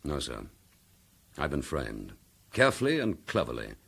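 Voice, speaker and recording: An actor playing an accused chief inspector, dogged and defiant, speaking in a firm, measured, indignant manner in a radio drama. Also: male voice, extremely low pitch, wildly swooping pitch, vocal fry, a bit bright, slightly rough, exaggerated voice. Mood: confident. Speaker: police detective.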